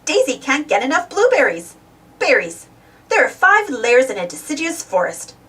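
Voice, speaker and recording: Female children's read-aloud narrator, high register, hugely lively intonation, slightly distant mic. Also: normal speed. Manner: expressive, simple delivery for young children. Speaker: storybook reader.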